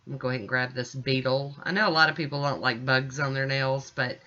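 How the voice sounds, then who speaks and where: Casting: American woman, middle-aged, bright forward voice, informal folksy tutorial narration, slightly muffled home recording. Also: rumbling low, fairly even intonation, fast talker. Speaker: nail art vlogger. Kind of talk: casual tutorial voice-over.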